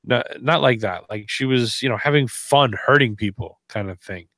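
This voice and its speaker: A man's voice: medium pitch, moderate pace, a bit bright, no echo. A man co-hosting a podcast in casual, sarcastic, rambling banter with plenty of swearing. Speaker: wrestling podcast co-host.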